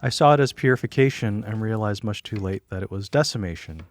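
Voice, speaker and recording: Young male narrator, first language Korean, candid and wry; low voice, guitar music underneath. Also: a little fast. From United States. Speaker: filmmaker narrating a personal film. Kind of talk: scripted personal voice-over narration.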